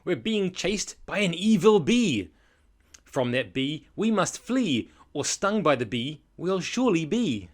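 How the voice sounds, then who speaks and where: A man voicing an excitable male storybook friend, urgent and eager in rhyming exclamations. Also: medium pitch, unhurried, close to an everyday voice. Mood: alarmed, urgent.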